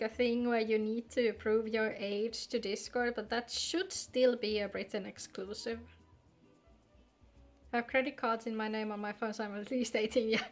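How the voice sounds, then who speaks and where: A woman chatting casually on a live game stream, with music playing behind her voice. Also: on the high side. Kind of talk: casual livestream chat mixed with reading game dialogue aloud. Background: background music.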